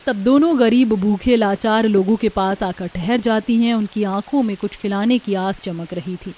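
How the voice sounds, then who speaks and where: A woman's voice: medium pitch, normal speed, mellow, slight background noise. A woman reading scripted narration for a cartoon story as its storyteller.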